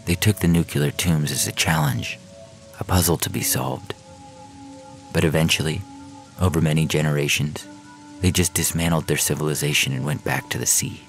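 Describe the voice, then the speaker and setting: A man's extremely deep voice, heavy with gravelly fry, reads a science fiction story. His delivery is measured and literary, like a storyteller, with faint music running underneath. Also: steady tone. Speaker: fiction podcast narrator. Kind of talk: scripted first-person science fiction story reading. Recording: music bed under the reading.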